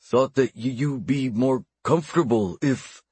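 A man's voice: medium pitch, measured pace, breathy edge, dry recording. Man playing an earnest, anxious young orc apprentice. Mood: hesitant, sorry. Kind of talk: audiobook character voice.

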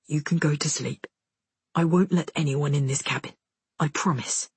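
A woman voicing a gentle, protective, remorseful young male soldier in a low, breathy hush for an audiobook. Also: steady tone, moderate pace, dry recording. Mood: soothing.

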